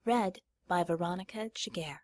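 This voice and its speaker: A woman reading a story aloud as scripted audiobook narration, with a slightly husky voice. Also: fairly low pitch, normal speed, slight background noise.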